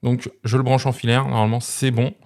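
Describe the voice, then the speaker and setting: A man's voice: mid-range voice, flat delivery, brisk, darkish tone. A man hosting a tech review, explaining gear casually and conversationally while speaking straight to his viewers. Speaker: host of a tech review channel. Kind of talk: casual product demonstration to camera.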